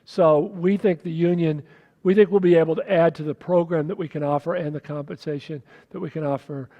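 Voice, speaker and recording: A man speaking as a school superintendent on a panel, explaining things in a measured way. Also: fairly high pitch, steady tone, lo-fi sound. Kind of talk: panel discussion. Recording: reverberant hall.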